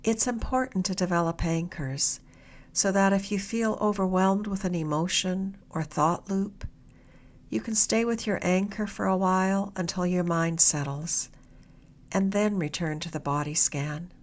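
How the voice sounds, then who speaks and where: Female meditation guide, slightly low register, soft and a touch breathy, gentle unhurried delivery with long pauses. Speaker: meditation guide, mindfulness mentor.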